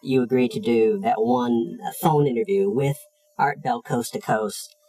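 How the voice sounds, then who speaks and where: Husky male interviewer, measured questioning. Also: mid-range voice, fairly even intonation, warm tone, rough recording.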